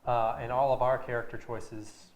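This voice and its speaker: A man's voice: medium pitch, little pitch movement, moderate pace, darkish tone. An American man chatting as an interview guest, hesitant and a little self-deprecating. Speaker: theatre director, interview guest. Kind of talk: casual chat. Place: indoor room, television interview. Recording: echoey room with background noise.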